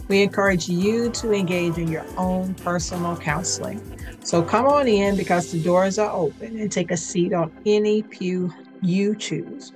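A woman hosting a faith-based podcast, speaking in an earnest, conversational way, her voice a little raspy today. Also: on the low side. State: slightly raspy voice. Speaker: podcast host and licensed clinician.

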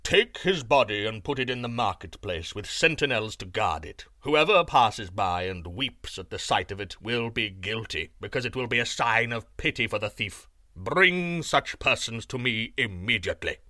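A man's voice: on the low side, expressive, moderate pace, hardly any pauses, sharp tone, no room sound, studio quality. A man doing a stern commanding king.

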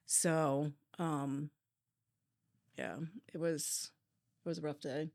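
A woman's voice: low-pitched, fairly even intonation, darkish tone, no echo, clean studio sound. A woman who works as a police dispatcher, answering interview questions openly and reflectively, with frequent restarts. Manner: open, reflective, halting restarts.

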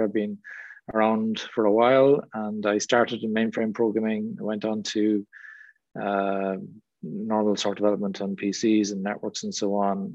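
A man's voice: on the low side, a little mellow, dry recording. A middle-aged Irish man speaking as a guest trainer in a webinar, discursive with frequent fillers. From Ireland. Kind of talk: webinar Q&A talk. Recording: dull lo-fi sound.

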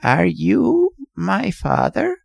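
A man's voice: high-pitched, huge pitch swings, gravelly, no room sound, clean studio sound, voice pushed up, exaggerated voice. A man voicing a weary, querulous old man in an audiobook story, with a cracked, weak, whining voice. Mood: querulous demanding. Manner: querulous, slow, complaining.

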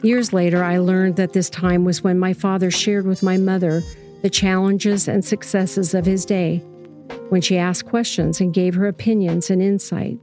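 A woman narrates a personal story in a reflective, measured manner. Her voice is fairly low and very mellow, with faint music underneath. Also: steady tone.

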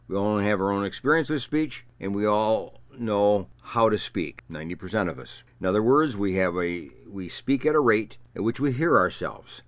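A man who says he stutters, talking as a speech therapist and explaining his points with measured, emphatic repetition. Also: fairly low pitch, a bit bright, no echo. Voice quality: stutters at times. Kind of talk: explanatory talk to camera.

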